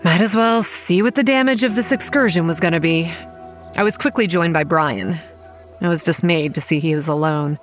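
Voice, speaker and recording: A young woman playing a wry, anxious campground manager, giving measured first-person narration in a horror audio drama. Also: lowish voice, faint music behind, natural voice. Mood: measured, uneasy. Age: young adult.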